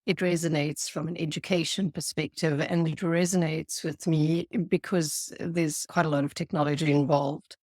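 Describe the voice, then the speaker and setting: A woman from South Africa with a South African accent, a guest on a podcast, explaining her work in an earnest, measured way. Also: sits low, steady tone, a little mellow, no room sound, clean studio sound. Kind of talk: podcast interview.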